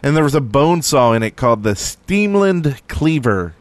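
A man's voice: medium pitch, very animated, moderate pace, dry recording. Joking man co-hosting a gaming podcast. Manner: joking, teasing. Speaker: podcast co-host. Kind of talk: casual gaming podcast chat.

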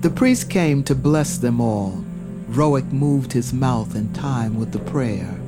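A man giving solemn, measured, scripted narration of a dramatic fantasy tale. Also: medium pitch, lively intonation. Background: prominent music.

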